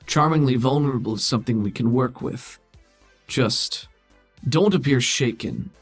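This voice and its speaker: Man playing a husky, imperious political schemer. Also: medium pitch, soft background music. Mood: calculating. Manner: formal, measured, imperious. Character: Thalassica, cool, scheming, condescending hologram political schemer.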